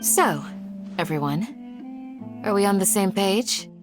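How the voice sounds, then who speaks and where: An actress voicing a kind young woman in a video game, talking in a soft, polite and encouraging way. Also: mid-range voice, expressive, slow, over music. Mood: gentle.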